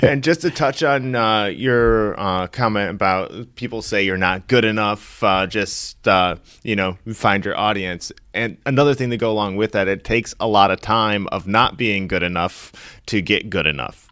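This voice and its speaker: A man hosting a podcast, asking questions and adding encouraging thoughts in a relaxed way. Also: on the low side, normal speed, hardly any pauses, no echo, clean studio sound. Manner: easygoing, affirming interviewer. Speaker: podcast host. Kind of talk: casual podcast interview.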